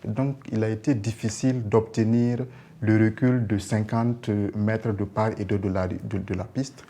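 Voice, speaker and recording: A man's voice: fairly low pitch, steady tone, moderate pace, dark tone, a little hiss or hum. A man with a Burkinabe accent explaining things in an interview as a project representative, often searching for words.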